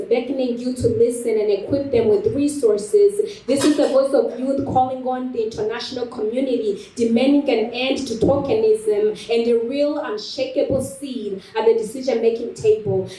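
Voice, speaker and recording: High, mellow woman delivering spoken word. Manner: emphatic, deliberate repetition. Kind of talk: rhetorical spoken word speech. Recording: echoey room, distant microphone.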